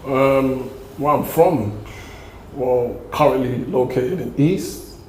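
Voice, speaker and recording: A man's voice: medium pitch, slow pace, darkish tone. A young man from South London with a South London accent, a guest giving laid-back, rambling answers in a casual interview. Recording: echoey room with faint noise.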